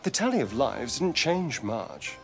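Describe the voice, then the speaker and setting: A man's voice: mid-range voice, sing-song, unhurried, creaky, soft background music. A man playing a vain, sardonic, flirtatious vampire elf in a video game, arch and theatrical. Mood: disdainful. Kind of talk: video game dialogue acting.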